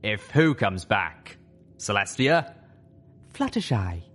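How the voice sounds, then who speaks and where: A man voicing a steady, matter-of-fact male dragon in an audiobook story. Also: lowish voice, slow pace, faint music behind, exaggerated voice. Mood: puzzled, certain.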